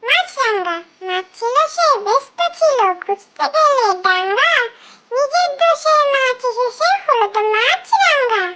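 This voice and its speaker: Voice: extremely high pitch, lively intonation, measured pace. A tiny childlike voice reciting nursery rhymes in a sing-song way, on a very noisy track with clicks.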